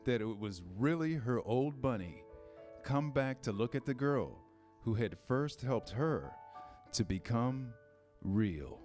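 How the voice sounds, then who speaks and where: A man's voice: mid-range voice, lively intonation, takes it slowly, a little mellow. A man reading scripted children's story narration in a church readers' theater. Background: instrumental music.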